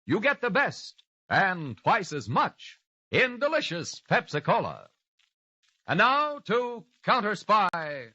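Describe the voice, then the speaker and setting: A man's voice: sits high, big pitch swings, takes it slowly. A male announcer giving an emphatic vintage radio sponsor read and show opening. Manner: bright, emphatic sales delivery. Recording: muffled old radio broadcast.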